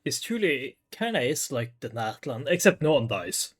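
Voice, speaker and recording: A man's voice: mid-range voice, big pitch swings, moderate pace. A man giving casual, playful game commentary as a streamer, muttering reactions as he plays.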